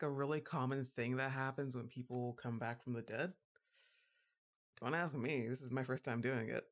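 A woman's voice: extremely low pitch, heavily acted. Woman acting a wry goth giantess witch girlfriend. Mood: checking, awkward. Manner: casual, wry, hesitant asides. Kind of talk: ASMR roleplay audio.

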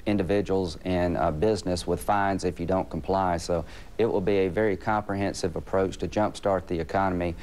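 A man's voice: deep voice, brisk. A middle-aged man speaking from a remote studio, firmly laying out political talking points. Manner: steady, firm, some uh fillers. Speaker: senator, remote guest.

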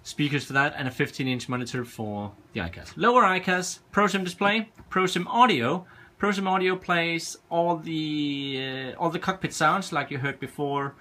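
A man's voice: on the high side, bright, forceful tone, a little hiss or hum. A man casually walking through his home cockpit setup, hesitant and searching for words, recorded indoors on a handheld camera.

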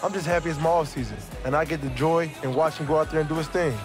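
Man as higher-voiced enthusiastic sports personality. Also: steady tone, racing, over music, lightly acted. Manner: informal, emphatic. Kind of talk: sports TV sound bite in a video game.

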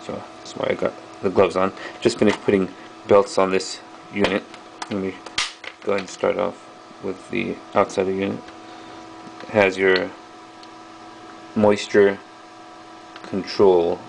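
Low, gravelly man, flat casual review. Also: slight background noise, low-quality recording. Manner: halting, piecemeal delivery while handling items. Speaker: video host reviewing old electronics. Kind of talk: casual hands-on product review. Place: small indoor workroom at a bench.

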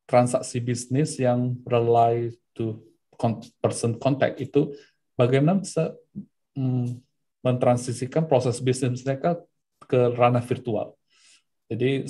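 A middle-aged man answering questions as a webinar speaker, explaining in a measured way and mixing in English business terms. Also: medium pitch, warm tone. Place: indoor room, on a video call. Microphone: computer mic on video call.

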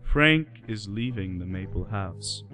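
A male narrator with a fairly low voice reads scripted radio play narration. Light music plays softly underneath him. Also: expressive, slow pace.

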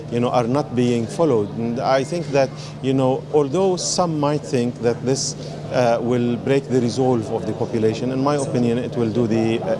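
A middle-aged man from Lebanon giving a measured, hesitant interview answer as a government minister. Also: medium pitch. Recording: crowd murmur around interview.

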